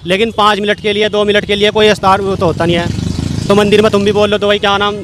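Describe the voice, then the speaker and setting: A man from the public giving informal, explanatory answers in a street interview, haltingly and with repeated phrases. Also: sits high, flat delivery. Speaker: member of the public being interviewed. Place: outdoors on a street. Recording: faint street and traffic noise.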